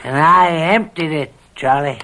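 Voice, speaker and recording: An actor playing a scheming, controlling older farm uncle with drawn-out, falsely kindly wheedling in an old radio mystery drama. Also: male voice, fairly high pitch, sharp tone. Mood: smug.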